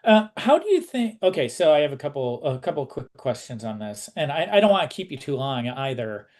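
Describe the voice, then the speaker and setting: A man's voice: medium pitch, very animated, a little fast, darkish tone. A man conducting a casual interview, informal and a little tentative, rephrasing his questions as he goes.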